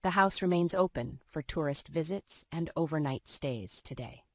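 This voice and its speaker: Low-pitched female true-crime narrator, dry recording. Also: moderate pace, a little mellow, no room sound.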